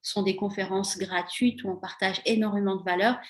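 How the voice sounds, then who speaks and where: A woman's voice: mid-range voice, fairly even intonation, brisk. A woman interviewing in a casual, conversational way, affirming and empathetic, with emphatic repetition. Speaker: host, coach interviewing a former client.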